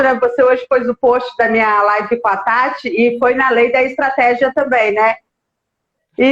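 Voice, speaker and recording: A high-pitched woman from Minas Gerais, Brazil, talks as a guest in a live stream. She is chatty and friendly, stopping to greet viewers by name. Her voice comes over a video call that sounds roomy and echoey, with a dull, muffled tone. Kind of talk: casual live-stream chat.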